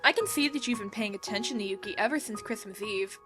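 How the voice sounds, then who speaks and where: A woman playing a bossy, suspicious, hot-tempered high school club leader in an amateur anime audio drama, blunt and demanding. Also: fast, lightly acted. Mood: accusing. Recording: loud music behind the voice. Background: loud music.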